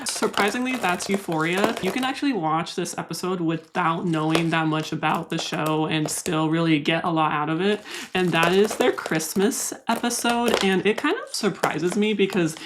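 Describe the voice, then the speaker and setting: Low-voiced woman chatting over plant chores. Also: moderate pace, noisy background. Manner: soft, candid, confiding. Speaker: plant vlogger. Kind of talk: casual voice-over while repotting plants.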